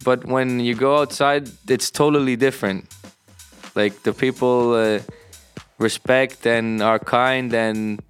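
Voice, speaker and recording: A man from Canada and Greece giving casual interview answers as a DJ, often halting to search for words. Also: medium pitch, fairly even intonation, clear, resonant tone. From born in Canada, moved to Greece at thirteen. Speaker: DJ and music producer. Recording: music playing underneath. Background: music.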